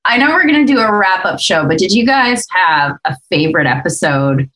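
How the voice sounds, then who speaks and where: A woman with a fairly low voice chats casually as a podcast host and voice actor. The recording is roomy, with noticeable echo around her words. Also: very animated, a little fast, fairly bright tone.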